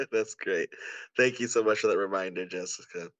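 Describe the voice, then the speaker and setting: A man's voice: low voice, a little slow, a bit bright, no echo. A man hosting an online event in an effusive, encouraging manner. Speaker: event host. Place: indoors on a video call.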